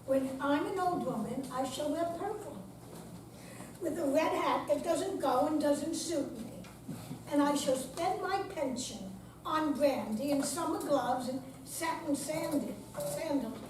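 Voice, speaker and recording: An older woman reciting poetry aloud as an amateur reader, deliberate and stumbling slightly at times. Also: high-pitched. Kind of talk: poetry recital before a live audience. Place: senior center room, recorded from afar. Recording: distant, echoey hall with clear background noise.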